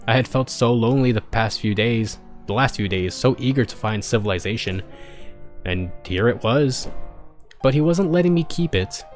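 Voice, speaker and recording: Male amateur story reader, medium pitch, expressive but halting delivery with self-corrections, background music underneath. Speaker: streamer reading fan fiction aloud. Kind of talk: expressive first-person story narration.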